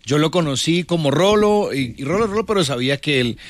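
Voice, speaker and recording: A man's voice: on the high side, fairly quick, no echo. A man from Colombia, telling a funny anecdote in a casual radio chat. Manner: animated anecdote teller.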